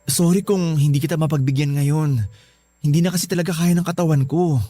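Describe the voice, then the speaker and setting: An actor playing a tired, exasperated young Filipino husband who pleads reluctantly in a radio drama. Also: male voice, fairly high pitch, steady tone, fairly quick, mellow, no room sound, close to an everyday voice. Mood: pleading, frustrated.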